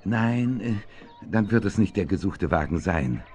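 A man's voice: low voice, lively intonation, unhurried, darkish tone. An actor playing a courteous, official policeman in a German children's radio play, speaking formally. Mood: official, polite. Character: polite, official policeman on patrol.

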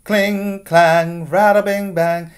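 A mischievous little storybook boy, played by a man, chants in a loud, raised singsong. He is noisy and drags out his words playfully. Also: sits high, flat delivery, slow, clear, resonant tone, faint music behind, pitched above the performer's own voice. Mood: gleeful, defiant.

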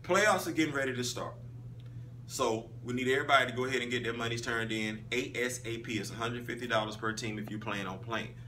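A man in his thirties gives a casual sports recap into a phone in a small room, punching names out loud and then dropping into quiet asides. His voice is bright and forceful. The phone sits a little off from him, with a faint hum underneath. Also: fast talker. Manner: animated, emphatic, swings between loud calls and low asides. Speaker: flag football league host. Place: small indoor room, in front of a wall poster. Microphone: phone camera at arm's length. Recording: phone audio, a bit distant, faint hum.

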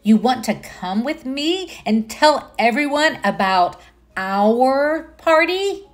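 A woman voicing an excited, kindhearted little boy mouse in a storybook, eagerly stretching out key words. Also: high voice, slow, soft background music, voice pushed up.

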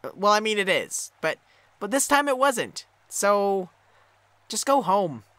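A woman's voice: mid-range voice, sing-song, measured pace, fairly bright tone, no room sound. A woman voicing a petty, scheming pony sun princess in a cartoon parody, bossy and melodramatic. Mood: exasperated. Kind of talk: comic cartoon parody dub.

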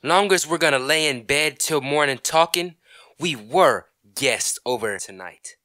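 A man's voice: on the high side, lively intonation, a little slow, pushed and bright, no echo, clean studio sound. A man playing an indignant, stubborn middle-aged husband in an old-time radio comedy, blustering, sarcastic and emphatic. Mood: irritated, defensive.

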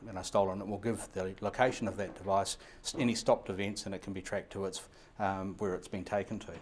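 Fast-talking New Zealand man, lapel mic. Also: lowish voice. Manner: fast, run-on explanations with many fillers and restarts. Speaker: radio equipment supplier. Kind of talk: interview answers. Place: indoor showroom.

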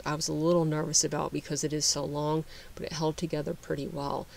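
Gravelly man explaining woodworking steps. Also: fairly high pitch. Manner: relaxed, explanatory, with frequent restarts and fillers. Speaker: woodworking channel host. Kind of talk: voice-over narration of a how-to.

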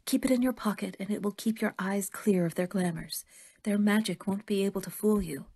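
A woman playing a kindly, worried older woman who believes in fairies, gentle and motherly in an audio drama. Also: mid-range voice, fairly quick, slightly rough. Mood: worried, urging.

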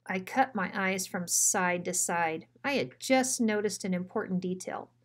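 A woman's voice: fairly low pitch, measured pace. Woman reading a children's story aloud. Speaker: school principal reading aloud.

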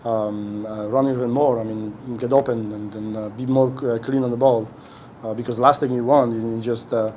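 A middle-aged man, a head coach, answering questions at a post-game press conference, speaking haltingly with many restarts as he searches for words. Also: medium pitch, steady tone, darkish tone, a little hiss or hum. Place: indoor press room. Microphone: podium mic on a stand.

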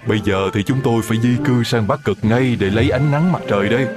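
A man's voice: medium pitch, quick pace, close to an everyday voice. A man voicing a polite, grateful flying whale in a children's cartoon, explaining things courteously, with music behind. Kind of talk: animated children's cartoon acting.

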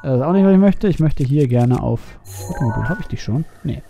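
A man's voice: medium pitch, expressive, fairly quick, gravelly, dark tone, soft background music. A man giving casual, playful live commentary as he streams a video game and chats with viewers.

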